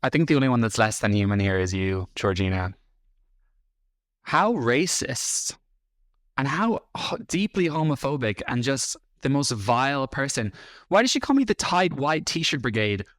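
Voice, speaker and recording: A man's voice: mid-range voice, very animated, moderate pace, fairly bright tone, no echo. Scornful Irish man, dry sound. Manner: scornful, sarcastic and blunt. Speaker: host. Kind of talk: reaction commentary.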